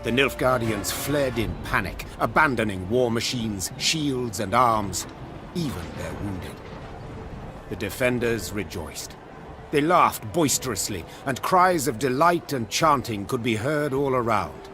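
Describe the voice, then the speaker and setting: A man acts as the narrating storyteller of a fantasy game. His delivery is literary and dramatic, mid-pitched with wide, animated swings. Music is clearly heard behind him. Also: a little slow, close to an everyday voice. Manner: literary, theatrical. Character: storyteller recounting a queen's war as a tale. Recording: music under the voice.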